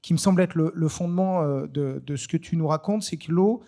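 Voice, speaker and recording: Guy moderating a panel, kinda creaky, mellow, stops and starts a lot. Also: fairly high pitch. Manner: hesitant, halting. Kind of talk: informal live panel hosting.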